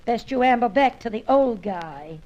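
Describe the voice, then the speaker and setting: A woman's voice: on the high side, expressive, a little slow, darkish tone, lo-fi sound. A woman playing a brassy, stubborn bird painter in a pulp radio serial, scolding in sassy old-time slang. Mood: scornful, stormy.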